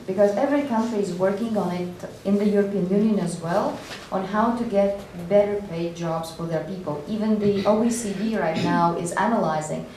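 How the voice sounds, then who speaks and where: A woman panelist explaining policy in a measured, explanatory way. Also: medium pitch, dark tone. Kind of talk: panel discussion. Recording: echoey hall, mic far off, faint noise.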